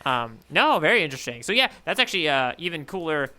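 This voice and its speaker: A man with a very bright, forceful voice and a smooth, crisp tone chats casually as a podcast co-host. His pitch sits a little high, and he thinks aloud as he talks. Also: very animated, fast. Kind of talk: casual chat, manga readalong discussion.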